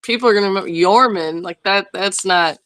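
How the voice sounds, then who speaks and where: A man's voice: high-pitched, brisk, pushed and bright, dry recording. A man hosts a casual interview in a dry, close-sounding setting. He asks loose, conversational questions and drops in joking asides. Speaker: podcast interviewer.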